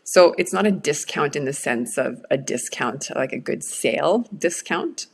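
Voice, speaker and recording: A middle-aged woman whose first language is Swedish talks through a workshop lesson in a low, slow voice. There is a gravelly fry at the bottom of her tone. She speaks in an earnest, reflective way. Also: animated. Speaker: change management presenter. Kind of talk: recorded workshop talk.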